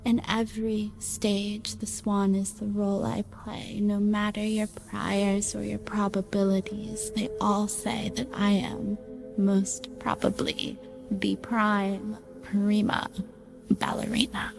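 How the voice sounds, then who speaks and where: A vain swan prima ballerina of a radio play, speaking extremely slowly and languidly. The voice creaks and trails off into breath, with music underneath. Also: female voice, mid-range voice, level tone. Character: self-regarding female swan, prima ballerina performer. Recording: music behind the voice.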